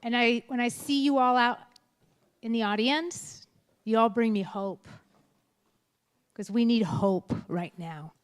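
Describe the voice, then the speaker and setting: A woman in her late fifties, Spanish her first language, speaks candidly from a school assembly stage. She talks straight to the crowd with frank, lively energy, in a voice a touch higher than most. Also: slow pace, long pauses, smooth. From California, United States. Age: 50s. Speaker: keynote speaker. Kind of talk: candid motivational speech.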